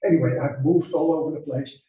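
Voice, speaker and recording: An older man with a Dutch accent speaks at a gallery gathering, telling his story in a loose, unhurried way. His voice sits a little high for a man. It is picked up from a distance in an echoey room. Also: steady tone, warm tone. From Netherlands. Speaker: exhibiting artist. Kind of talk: self-introduction at a gallery talk.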